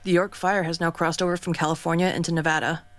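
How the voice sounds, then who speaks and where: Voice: medium pitch, flat delivery, fast, a bit bright, no room sound, lightly acted. An actor plays a sarcastic radio co-host who throws insults at his partner. The delivery sounds dry and close, with no room sound. A faint hum sits under it. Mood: newsy. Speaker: radio co-host reading news. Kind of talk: radio drama acting.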